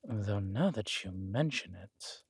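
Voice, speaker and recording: A man playing a regal, wry, menacing villain king in a roleplay audio drama, speaking in a measured, intimate, smugly theatrical way. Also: on the low side, lively intonation, breathy edge, no room sound, studio quality, exaggerated voice. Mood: flirtatious, teasing.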